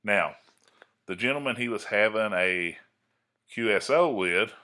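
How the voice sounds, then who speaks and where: A man's voice: on the low side, animated, measured pace, sharp tone. A man giving an informal hobbyist tutorial in a folksy, explanatory way, often checking in with the listener. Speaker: amateur radio hobbyist presenting a tutorial. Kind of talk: informal screen-recorded tutorial.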